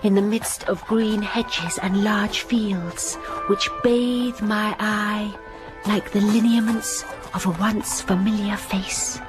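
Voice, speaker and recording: An actress playing a young English governess, narrating in the first person with measured, wistful delivery over music. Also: female voice, mid-range voice, steady tone, lightly acted. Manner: measured, wistful, rising to urgent. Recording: music under the voice.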